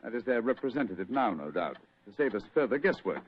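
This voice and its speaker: A man playing a shrewd, urbane British gentleman detective in an old-time radio drama, precise, wry and self-assured, with a husky voice. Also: mid-range voice, big pitch swings, fast, slight background noise, lightly acted. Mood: amused, musing. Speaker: actor in a radio mystery. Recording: dull vintage radio drama recording.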